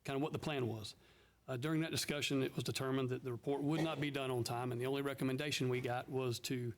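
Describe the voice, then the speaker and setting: A man's voice: mid-range voice, fairly even intonation, quick pace, a little hiss or hum. A man, a council member reporting methodically to a county council meeting. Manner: methodical, explains step by step.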